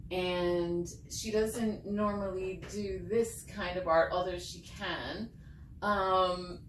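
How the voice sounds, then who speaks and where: Slow-speaking expressive woman in a roomy space. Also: mid-range voice. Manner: earnest, expressive, halting in thought. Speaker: fairy tale writer and storyteller. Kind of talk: heartfelt personal talk, then storybook reading. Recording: roomy, distant mic with background noise.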